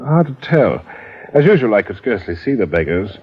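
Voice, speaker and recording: An actor playing a breezy British estate manager of about thirty in an old-time radio drama, jaunty and understated. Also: male voice, fairly low pitch, big pitch swings, fairly quick, husky. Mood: brisk, unruffled. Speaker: supporting actor. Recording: muffled vintage radio.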